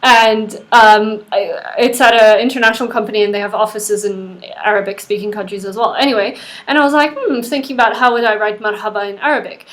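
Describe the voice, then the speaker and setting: A woman hosting a casual interview over a laptop video call, chatty and quick to laugh. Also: medium pitch, steady tone, fairly bright tone, rough recording. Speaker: host of the interview series.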